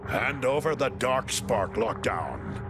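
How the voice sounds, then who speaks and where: A man playing a noble, solemn giant robot commander in a video game, with a deep gravelly voice and a grave, formal, commanding manner. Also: lively intonation, slow, noisy background. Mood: stern. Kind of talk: video game cutscene voice acting. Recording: loud music under the voice.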